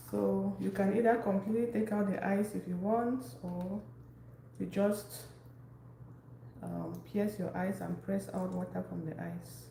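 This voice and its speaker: Woman, cooking stream host, mellow gravelly voice, greets every viewer by name. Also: medium pitch, steady tone, slow, long pauses. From Cameroon. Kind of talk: casual live-stream chat while cooking. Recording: echoey room, faint background noise.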